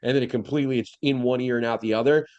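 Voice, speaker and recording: A man's voice: mid-range voice, steady tone, racing, a little mellow. A congested man co-hosting a casual podcast over a video call, his voice dull and a bit lo-fi with no room echo at all. He rambles, swears freely and restarts his sentences a lot. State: congested nose. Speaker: podcast co-host.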